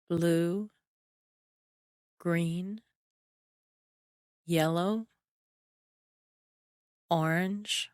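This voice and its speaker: Female guided imagery narrator: soothing, measured delivery, clean smooth tone, very unhurried, with long pauses. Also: on the low side, no echo, clean studio sound. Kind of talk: guided relaxation meditation, scripted narration.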